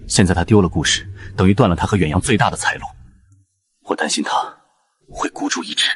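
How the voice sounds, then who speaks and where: Male actor as serious drama man, rapid delivery, raspy low voice, brisk. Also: expressive, a bit bright, heavily acted. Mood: urgent. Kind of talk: dramatic audio drama acting.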